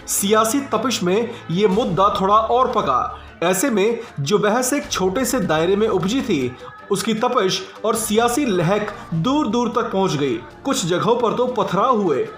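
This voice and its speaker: Man, news presenter, high voice, emphatic rhetorical reading, roomy sound. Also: fairly bright tone. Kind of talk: scripted news narration. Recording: roomy voice-over with music behind. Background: music.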